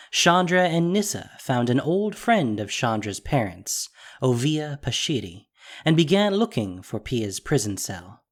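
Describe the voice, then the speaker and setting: A narrator reading a scripted fantasy story in a dramatic storytelling style. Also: mid-range voice.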